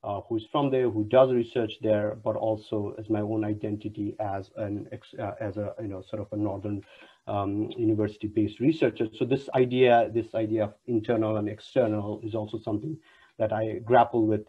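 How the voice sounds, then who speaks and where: A man's voice: on the low side, flat delivery, fairly quick, slightly rough. Hesitant man on a lo-fi video call. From Nepal. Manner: hesitant, many fillers and restarts. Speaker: panel chair and university lecturer. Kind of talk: formal online panel introduction. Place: home room on a video call. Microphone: wired earphone mic on a video call. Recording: dull lo-fi video call audio.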